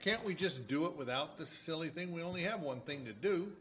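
Councilman, male, bright and quick, casual wry grumbling from the bench. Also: on the high side, expressive. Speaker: city council member. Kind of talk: casual meeting banter. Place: large council chamber.

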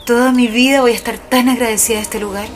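A woman's voice: a little high, steady tone, quick pace, over music, lightly acted. An actress playing an exuberant, grateful woman in a radio drama. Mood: elated. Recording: loud background noise.

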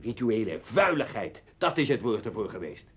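Sneering educated man in a radio play, breathy husky voice, bit muffled. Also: on the low side, very animated, a bit bright, full character voice. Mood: cold contempt. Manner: scornful, deliberate. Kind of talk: Dutch radio play acting. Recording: dull old radio drama recording.

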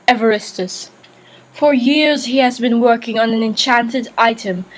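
A woman performs a regal queen regent in an audio play. Her voice sits a little high, and she declaims with formal, resolute authority. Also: poor audio. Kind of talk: audio drama acting, formal speech.

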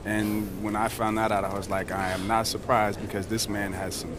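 A man's voice: fairly low pitch, flat delivery, moderate pace, background noise, natural voice. A young man playing a confident, laid-back basketball rookie in a video game cutscene, talking casually with dry humor and slang. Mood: dry, easygoing. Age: young adult.

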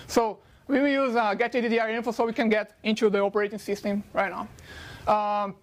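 A man from Brazil with a Brazilian Portuguese accent, a non-native English speaker giving a fast technical conference talk with many filler sounds into a handheld mic in a lecture hall. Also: high voice, level tone. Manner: fast-talking with frequent uh fillers.

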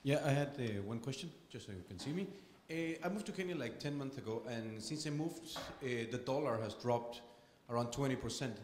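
A man's voice: mid-range voice, expressive, fairly quick, darkish tone, a little hiss or hum. A man in the audience asking a question, wandering and hesitant. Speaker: audience member. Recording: echoey hall from off-mic.

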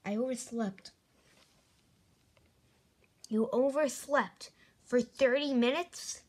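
A woman voicing plush toys in an improvised toy skit, playful with rapid back-and-forth lines. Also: a little high. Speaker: plush video maker voicing all the toys. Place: carpeted bedroom floor.

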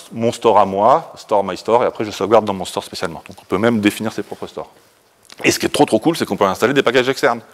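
A man in his thirties with a French accent presents in a lecture room, informally and with self-deprecating jokes. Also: lowish voice. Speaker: conference speaker. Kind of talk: informal tech presentation with live demo.

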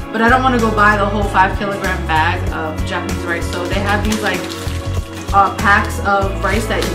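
A woman's voice: medium pitch, roomy sound. A young woman from Puerto Rico chatting casually as a vlogger at home, upbeat and full of "like" and "um". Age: young adult. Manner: chatty, upbeat, lots of fillers like "like" and "um". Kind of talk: casual vlog chat and voice-over. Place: small home kitchen, indoors.

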